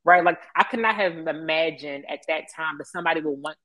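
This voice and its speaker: A woman in her thirties with an American accent and a low-pitched voice. She speaks as an interview guest in a conversational storytelling style. The sound is close and dry. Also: fairly quick, fairly bright tone, no echo. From Baltimore, United States. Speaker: interview guest, media professional.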